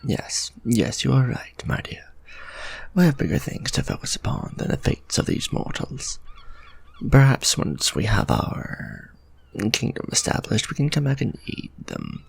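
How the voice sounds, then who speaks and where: A refined, possessive vampire lord, played by a man, muses in formal speech while tenderly addressing the listener. His voice is deeply gravelly and husky, with pitch that swoops widely. This is an ASMR romantic roleplay. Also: huge pitch swings, measured pace, no echo, heavily acted. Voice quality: gravelly, husky, soft-spoken.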